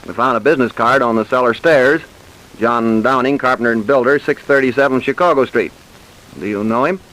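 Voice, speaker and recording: An actor playing a gruff, suspicious American detective chief, blunt and hard-boiled, in an old-time radio crime drama. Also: male voice, mid-range voice, a little fast, a bit bright, lightly acted, low-quality recording. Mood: matter-of-fact.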